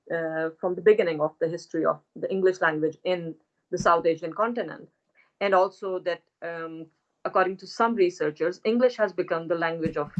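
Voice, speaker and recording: A woman who is a linguist, speaking as a podcast guest in a hesitant way with many pauses. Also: lowish voice, muffled recording. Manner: hesitant, many fillers and restarts. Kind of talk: academic conversation.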